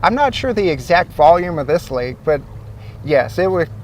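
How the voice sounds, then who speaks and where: An easygoing man with a slightly high voice explains things step by step and leans on small fillers. He is recorded outdoors by a lake, a little away from the mic, with faint noise behind him. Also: very animated, normal speed, a little mellow, distant mic. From Ohio. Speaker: source water protection coordinator, guest. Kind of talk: explanatory interview answers.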